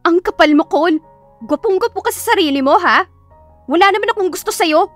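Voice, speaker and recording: Woman acting a high, feisty lovestruck young woman. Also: animated, brisk, faint music behind. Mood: angry, hurt. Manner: indignant, dramatic, stammering when flustered.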